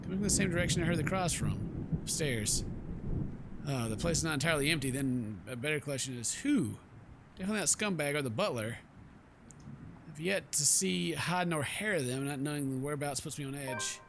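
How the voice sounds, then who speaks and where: Higher-pitched male streamer reading game narration. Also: expressive, a little fast, gravelly, slight background noise. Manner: jokey asides, playful. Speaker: gaming streamer. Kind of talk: game playthrough, reading narration aloud with casual commentary. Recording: game music behind the voice.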